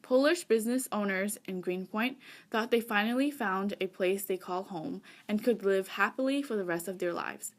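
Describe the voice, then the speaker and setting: A woman's voice: mid-range voice, normal speed. A woman reading scripted documentary narration in a formal manner. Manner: read-aloud, formal.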